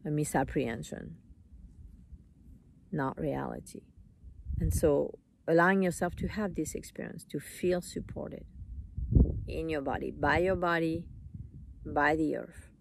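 A meditation teacher leading a live guided meditation in an unhurried, soothing manner, in short phrases with long pauses. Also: mid-range voice, creaky, a little hiss or hum.

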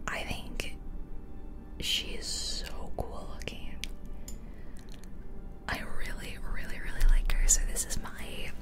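A woman hosting ASMR in a soft, chatty whisper, speaking close to the microphone as she casually comments on a game. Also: takes it slowly, long pauses. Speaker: ASMR video host. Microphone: close ear-to-ear ASMR microphone.

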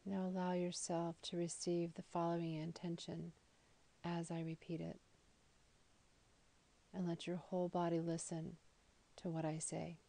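Low, creaky woman guiding meditation. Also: completely flat delivery. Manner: soothing, slow and deliberate with soft, breathy phrase endings. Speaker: yoga nidra guide. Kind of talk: guided meditation.

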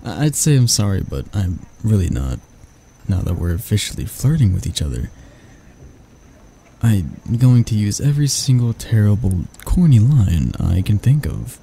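A man playing a grumpy but soft-hearted barista, flustered and flirty, stammering and teasing in a romantic ASMR roleplay. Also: lowish voice, big pitch swings, normal speed, gravelly, slight background noise. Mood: bashful flirting.

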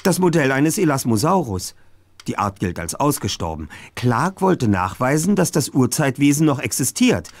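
A man's voice: mid-range voice, very animated, moderate pace, dry recording. An actor voicing a clever, self-assured young male detective in an adventure game, with precise, bookish diction. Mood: explanatory.

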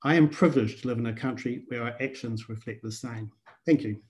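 A man's voice: mid-range voice, darkish tone. Older New Zealand man reading remarks. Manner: measured, read from notes. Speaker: conference speaker. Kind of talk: prepared conference remarks. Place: small indoor room. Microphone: video call.

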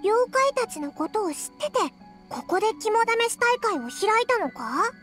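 A small, inquisitive fairy companion from a video game. The voice is tiny and very squeaky, animated and childlike, with faint music behind it. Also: female voice, moderate pace, heavily acted. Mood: curious, pondering. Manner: squeaky, animated, boyish blunt phrasing. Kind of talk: video game cutscene acting.

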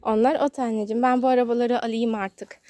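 High-pitched mother chatting to her toddler. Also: little pitch movement, fast. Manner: sing-song, coaxing child-directed talk. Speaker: mother filming her child at play. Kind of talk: casual chat with a toddler. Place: outdoors in a garden. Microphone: handheld phone, behind the camera. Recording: faint outdoor background noise, clicks.

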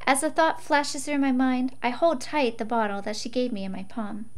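A woman's voice: sits high, steady tone, normal speed. A woman narrating a visual novel expressively as she plays through it.

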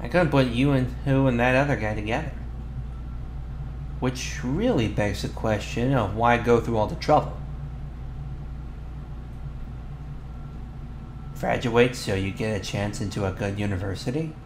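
A man playing a flustered, defensive teenage bully with a crush in an ASMR boyfriend roleplay, talking in a halting, sheepish, slangy way. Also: mid-range voice, lightly acted. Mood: curious, awkward. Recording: roomy echo, clear background noise.

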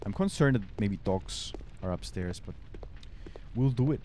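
German-accented streamer playing a horror game. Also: male voice, fairly low pitch, animated, unhurried, darkish tone, slight background noise. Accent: German-accented English. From Austria. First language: Spanish. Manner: chatty, thinks aloud, reads game text, answers chat. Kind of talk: casual let's-play commentary. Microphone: headset mic at a desk.

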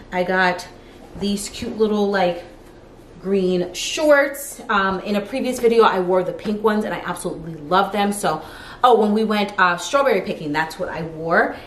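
A woman in her thirties chatting casually to camera as a lifestyle vlogger, informal and peppered with "like", recorded in a living room. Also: medium pitch. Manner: chatty, informal, frequent "like" as filler. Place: living room of an apartment, indoors. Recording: roomy echo, clear background noise.

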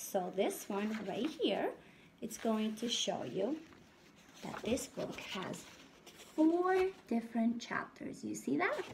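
A woman in her thirties, a first-grade teacher reading aloud to young children and asking them questions in an animated, instructive way. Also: medium pitch, creaky, a little hiss or hum, low-quality recording. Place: indoors in a home room.

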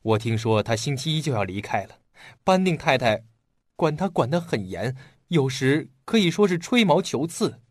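An actor playing an earnest, polite young police detective in a radio drama. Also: male voice, medium pitch, sing-song, moderate pace, dry recording, studio quality. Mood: earnest, pleading.

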